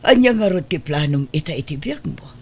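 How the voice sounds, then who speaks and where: Lady acting a worried mom in a radio drama, husky, voice goes up and down a lot, drawn-out pleading. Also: low voice, expressive, a little mellow. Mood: hurt, pleading. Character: worried, pleading mother of a wayward grown son.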